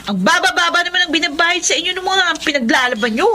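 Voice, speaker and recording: A woman's voice: very high voice, lively intonation, quick pace, background noise. A woman acting a resentful, ambitious young student from a poor family in a Filipino radio drama, complaining and scornful. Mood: scornful, angry.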